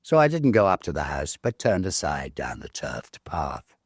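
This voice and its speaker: A British man reading a story aloud in an expressive, theatrical, measured literary narration style. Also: rumbling low, breathy edge, dry recording, studio quality. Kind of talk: expressive dramatic audiobook narration.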